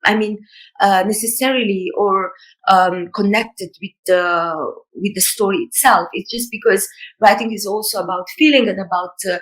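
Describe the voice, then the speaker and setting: Female author from Italy, hesitant, halting, thinks aloud. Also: mid-range voice. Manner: hesitant, searching for words with many fillers. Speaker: author in conversation. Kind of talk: reflective conversation.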